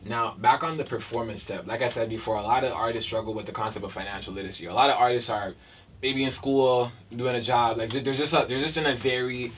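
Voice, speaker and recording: A man's voice: mid-range voice, fast, a bit bright, distant mic, a little hiss or hum. A man interviewing a guest in a casual, slangy, laid-back way, often laughing.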